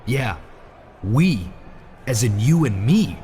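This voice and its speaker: A man's voice: mid-range voice, very animated, slow, mellow. A man playing a cocky, resentful scheming wrestler in a video game, speaking in a pointed, sarcastic way. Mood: resentful sarcasm. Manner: pointed, smug, mocking. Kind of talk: scripted video game cutscene acting. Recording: clear ambient noise behind voice.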